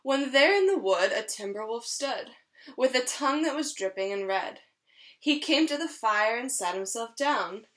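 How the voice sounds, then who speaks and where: Bright, high-voiced young woman reading to children. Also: lively intonation, normal speed. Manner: clear storytelling for young children. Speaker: teacher. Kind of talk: children's picture-book read-aloud. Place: small room at home, indoors.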